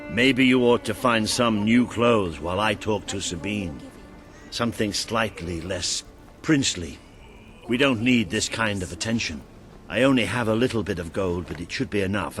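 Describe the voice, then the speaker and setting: An actor playing a gruff, seasoned British soldier mentor in a video game, speaking bluntly and with command. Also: male voice, on the low side, moderate pace, fairly bright tone, long reverb tail, faint music behind, lightly acted. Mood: grim, earnest. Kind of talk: video game cutscene acting.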